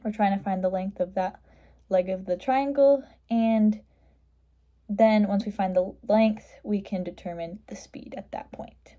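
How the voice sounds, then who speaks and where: Female tutor for math walkthrough: middle-pitched, somewhat mellow voice, matter-of-fact step-by-step delivery. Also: unhurried. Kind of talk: step-by-step explanation of a worked math problem.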